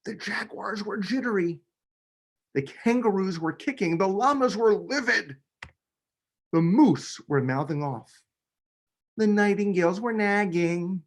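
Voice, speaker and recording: A man, a children's book author, reading a picture book aloud with expressive, dramatic emphasis. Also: high voice, a little slow, long pauses. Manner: expressive, dramatic delivery with emphasis on key words.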